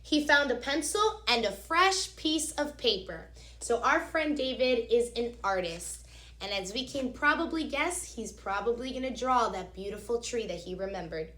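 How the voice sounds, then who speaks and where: A woman reading a picture book aloud as a teacher, expressively, pausing to explain things directly to young children. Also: a little high. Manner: expressive, instructive, addresses young listeners directly. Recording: echoey, reverberant room.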